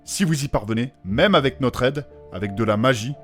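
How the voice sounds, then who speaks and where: A man's voice: mid-range voice, expressive, a little fast, husky. Polite, flustered male healer mage actor's role, deferential and apologetic, comic fantasy audio drama with faint music. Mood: earnest, solemn.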